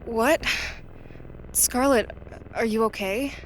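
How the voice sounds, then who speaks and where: A woman's voice: fairly high pitch, takes it slowly, a little hiss or hum, poor audio. A young actress playing an earnest, bewildered female new recruit in a naturalistic audio drama, speaking in a casual, plain way. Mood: worried, confused. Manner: questioning, casual, plain-spoken.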